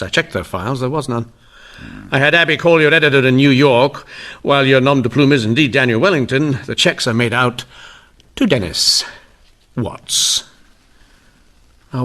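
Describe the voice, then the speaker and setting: An actor playing a shrewd, wry police inspector in an old-time radio mystery, genteel and unhurried in manner. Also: male voice, mid-range voice, sharp tone. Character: shrewd, wry, courteous male police inspector, 1890s Canada.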